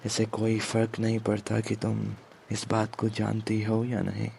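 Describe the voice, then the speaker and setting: Man playing smug possessive crime boss, low-pitched, gravelly halting murmur, menacing, intimate ASMR roleplay, background hiss. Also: monotone, darkish tone. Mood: quietly threatening. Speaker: audio roleplay performer.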